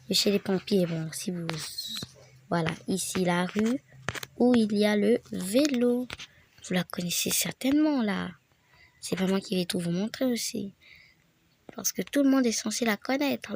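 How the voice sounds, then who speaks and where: A woman's voice: medium pitch, vocal fry, a little mellow, a little hiss or hum. Chatty woman with a head cold. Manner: rambling, chatty, with whispered asides. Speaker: gaming video creator. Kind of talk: casual game tutorial chat.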